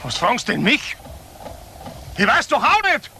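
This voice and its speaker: Casting: curt farmer, highish gravelly voice, defensive, crime radio play with noisy ambience. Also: male voice, very animated, unhurried, heavily acted. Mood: defensive, indignant. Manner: curt, indignant. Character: defensive, gruff male farmer, father of sons. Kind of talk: German crime radio drama acting. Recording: noisy outdoor-scene drama ambience.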